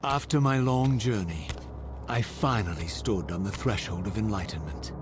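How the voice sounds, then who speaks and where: An actor playing a vengeful undead vampire wraith in a video game, narrating in a grave, formal, theatrical way with ornate diction. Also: male voice, fairly low pitch, normal speed, noisy background, close to an everyday voice. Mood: hopeful, solemn. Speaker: narrating protagonist. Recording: music under the voice. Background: loud music.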